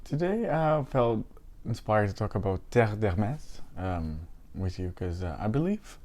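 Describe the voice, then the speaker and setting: Slightly hoarse man, fairly low voice. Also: big pitch swings, darkish tone. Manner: hesitant, halting, often repeating words. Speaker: fragrance reviewer and host. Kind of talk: casual unscripted review to camera.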